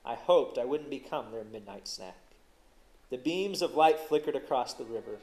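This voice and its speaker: A man's voice: mid-range voice, normal speed, low-quality recording. Man telling a story dramatically over music. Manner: dramatic, animated on exciting parts. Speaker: storyteller, host of a story show. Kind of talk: expressive first-person story narration.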